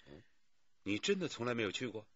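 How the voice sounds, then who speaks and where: An actor playing a watchful police detective, questioning in a measured, coaxing way in a radio crime drama. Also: male voice, lowish voice, very animated, husky, dry recording, muffled recording. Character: calm, watchful police detective interviewing a witness.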